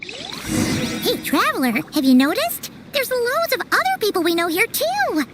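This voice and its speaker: Very high, squeaky excitable little girl. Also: measured pace, over loud music, exaggerated voice. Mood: cheerful, eager. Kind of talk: animated video game voice acting.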